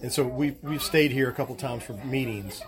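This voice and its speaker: A middle-aged or older man from the New Orleans area, giving casual, halting answers as an interview guest. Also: mid-range voice. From New Orleans area, Louisiana. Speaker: interview guest, travel association president. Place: at a festive party. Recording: busy party chatter behind voice.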